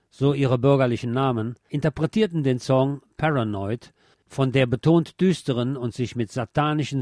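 A man's voice: medium pitch, mellow, dry recording, clean studio sound. A man presenting a scripted music-history narration in literate, formal wording with a dryly ironic manner. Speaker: host.

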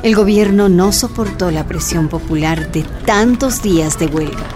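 A woman giving measured scripted narration for a radio drama. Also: fairly low pitch, music in the background.

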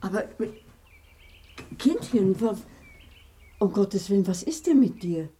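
A woman's voice: medium pitch, slow, slight background noise. An actress playing a chatty, motherly and matter-of-fact woman crime novelist in a German radio drama. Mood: alarmed. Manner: conversational, animated, matter-of-fact.

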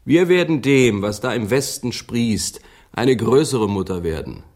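A husky man's voice with a lively, wide melody, as a learned English gentleman in a radio play. He is formal, dry and proudly opinionated. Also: medium pitch, unhurried. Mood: proud, declaiming. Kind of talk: literary radio drama acting.

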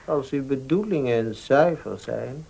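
An actor playing a polite, cautious baker and protective older brother in a radio play, with a formal, deferential and hesitant manner. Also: male voice, mid-range voice, a little slow, gravelly, darkish tone, a little hiss or hum, low-quality recording. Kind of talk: Dutch radio play acting.

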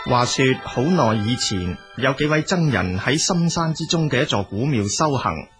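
A man with a Cantonese accent narrating a scripted story in a measured, expository manner. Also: medium pitch, animated, over music.

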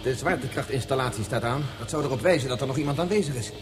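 An actor playing a level-headed, authoritative spaceship commander in a science fiction radio play, speaking in a matter-of-fact, instructing way. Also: male voice, mid-range voice, fast talker, over music, natural voice. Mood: explaining.

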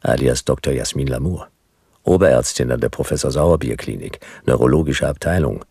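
Casting: a world-weary future gumshoe narrating noir radio drama, extremely low gravelly voice, laconic, close dry sound. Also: male voice, fairly quick, a little mellow, no room sound, full character voice. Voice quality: gravelly, husky. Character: world-weary male private detective of the future. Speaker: narrator and lead character.

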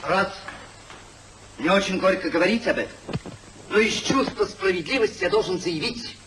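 High-voiced pious hypocrite. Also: male voice, lively intonation, normal speed. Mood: self-righteous. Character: smooth-tongued hypocritical gentleman, pious and self-righteous. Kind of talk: 1950s theatrical radio comedy acting. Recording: distant, faintly noisy 1950s radio recording.

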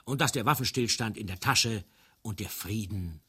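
A man's voice: on the low side, moderate pace, a bit bright, husky. A man giving a scripted reading of literary prose for radio.

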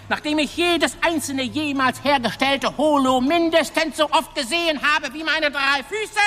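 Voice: high-pitched, bright, forceful tone. An actor in a science fiction radio drama playing an arrogant, choleric, ancient alien scientist who rants with pompous indignation. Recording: long reverb, music underneath.

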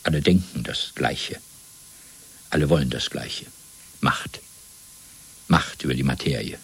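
An actor playing a coldly domineering party interrogator in a German radio play, lecturing in a measured, zealous way with a gravelly, husky voice. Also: male voice, a bass voice, full character voice. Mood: cold, controlled. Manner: measured, lecturing, swelling from hushed to fervent.

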